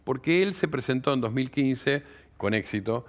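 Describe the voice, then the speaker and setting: A man's voice: mid-range voice, animated, normal speed. A man in his sixties with an Argentine accent, explaining things analytically as an interview guest and often adding tag questions. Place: indoor studio set. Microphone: headset.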